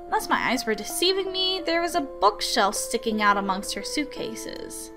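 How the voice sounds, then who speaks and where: A woman with a fairly high, very lively voice narrates a visual novel. She reads the scripted story in the first person, with music playing behind her. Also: normal speed. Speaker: gaming video host reading a visual novel aloud.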